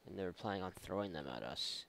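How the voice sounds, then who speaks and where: A man's voice: deep voice, animated, fast talker, vocal fry, a bit bright, a little hiss or hum. A man giving casual, sarcastic, often profane commentary while playing a video game. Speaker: gaming video host. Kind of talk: casual let's play commentary.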